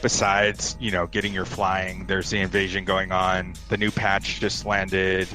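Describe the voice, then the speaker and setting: A man's voice: low voice, little pitch movement, unhurried, music in the background, poor audio. A man guesting on a podcast, explaining things in a dry, matter-of-fact way. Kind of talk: casual chat.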